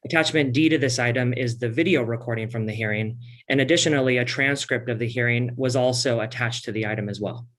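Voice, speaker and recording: A man from county staff giving a steady, formal briefing of an item's background. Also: medium pitch, fast, few pauses. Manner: steady, reading a summary.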